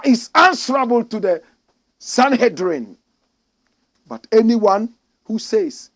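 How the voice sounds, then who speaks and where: A man from Ghana giving impassioned political commentary, preachy and emphatic, swinging from loud outbursts to low asides. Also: creaky.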